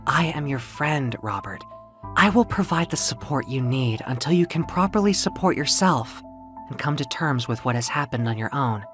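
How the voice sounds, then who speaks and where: A man's voice: medium pitch, expressive, normal speed, fairly bright tone, soft background music, lightly acted. A man voicing a reassuring, playful winged muse woman in an audio drama story. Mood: soothing, reassuring. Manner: reassuring, encouraging.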